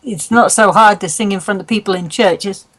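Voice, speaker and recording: A woman's voice: medium pitch, a little fast, a bit bright. A woman co-hosting a live talk show, asking questions in a friendly way with light fillers. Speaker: co-host. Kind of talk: casual interview.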